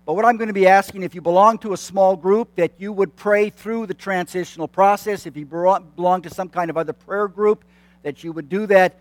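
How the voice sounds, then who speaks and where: A man in his late fifties speaks to a church congregation with a friendly, self-deprecating manner, pausing on plenty of fillers and restarting his sentences. His voice sits high for a man, and the sound is dry and close, with little room echo. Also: fairly even intonation. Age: 50s. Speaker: church consultant. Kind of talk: announcements to a congregation. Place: church sanctuary.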